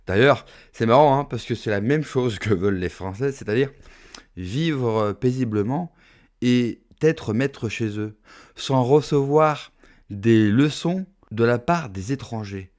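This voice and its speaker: A man hosting his own channel, commenting on clips with mocking irony and slow, pointed emphasis. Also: fairly low pitch. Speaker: political commentator, channel host. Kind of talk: sarcastic commentary on video clips.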